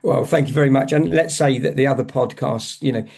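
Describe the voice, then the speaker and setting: A man's voice: mid-range voice, fairly quick, creaky, darkish tone, breathy edge. A man, a podcast guest telling stories with enthusiasm. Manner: enthusiastic, storytelling, calls host bud. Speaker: podcast guest, motorsport fan. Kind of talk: casual podcast chat.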